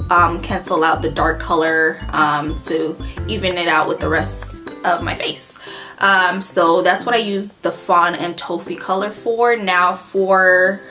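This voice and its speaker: A woman with a light Haitian Creole accent talks through her favorite makeup products in a loose, rambling way, pausing on ums and correcting herself as she goes. Her voice sits on the lower side, and a guitar track plays clearly behind her. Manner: chatty, rambling, many ums and self-corrections. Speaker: beauty vlogger. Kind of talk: casual makeup product review. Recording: clear background guitar music.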